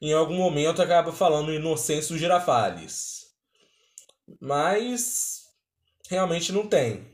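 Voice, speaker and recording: A man's voice: a little high, lively intonation, measured pace. A man with a Brazilian accent giving casual, conversational reaction commentary as the host of a video. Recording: roomy, echoey sound.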